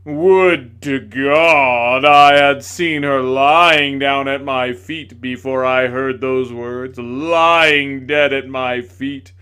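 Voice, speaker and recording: A man's voice: medium pitch, steady tone, extremely slow, few pauses, pushed and bright, clean tone. A man voicing a pompous, melodramatic male ghost who declaims everything like a stage tragedian. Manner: declaiming, overwrought.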